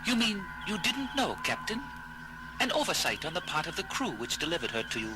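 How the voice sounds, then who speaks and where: Alien ambassador: high voice, animated, courteous and formal, very loud music underneath, audio drama. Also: male voice, fairly quick, poor audio. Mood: courteous, pleased. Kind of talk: science fiction audio drama acting.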